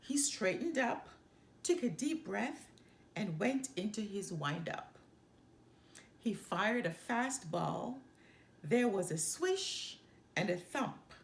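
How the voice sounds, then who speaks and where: A woman reading a children's picture book aloud in an expressive, measured storytelling manner. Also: medium pitch. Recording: echoey room with faint background noise.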